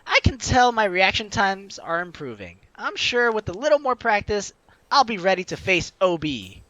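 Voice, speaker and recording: A woman's voice: medium pitch, very animated, moderate pace, fairly bright tone, no echo, rough recording. A young woman hosting a let's play, reading game text aloud theatrically with playful, chatty asides. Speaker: gaming YouTuber, host.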